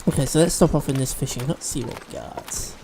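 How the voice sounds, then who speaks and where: A man's voice: mid-range voice, a little fast, dark tone, slight background noise, rough recording. A man hosting a let's-play video, chatting and explaining game mechanics as he plays, a bit sniffly from allergies. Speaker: gaming video host.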